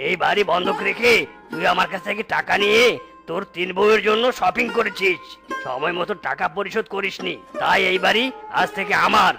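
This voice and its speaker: A harsh cartoon moneylender , played by a man, with a high-pitched, gravelly voice. He is stern, accusing and gloating. Music plays clearly behind him. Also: brisk. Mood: menacing. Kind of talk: cartoon voice acting in a comic folk tale.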